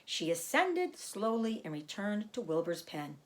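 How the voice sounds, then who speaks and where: A woman teacher reading a children's book aloud, gently stopping to explain words for young listeners. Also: medium pitch, sing-song, unhurried.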